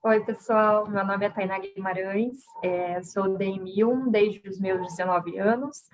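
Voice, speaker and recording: A woman in her twenties, a guest on a live chat, talking in a relaxed way with easy laughs and thoughtful pauses. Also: mid-range voice, low-quality recording. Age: 20s.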